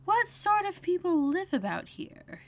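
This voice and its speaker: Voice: extremely high pitch, sing-song, a little slow, a bit bright, slight background noise, voice pushed up, heavily acted. A narrator voicing an earnest, prim and inquisitive young girl in a storybook reading. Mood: polite, inquiring.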